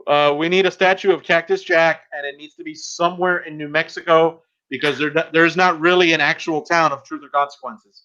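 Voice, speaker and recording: A man co-hosting a podcast in casual group chat, speaking haltingly as he thinks aloud. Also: a little high, steady tone, bright, forceful tone. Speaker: podcast co-host. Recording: dull, lo-fi voice chat audio.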